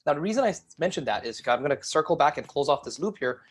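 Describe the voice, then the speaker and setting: A middle-aged man from Singapore, a guest telling his life story in an energetic interview and addressing the viewers directly. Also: on the high side, quick pace, slightly rough.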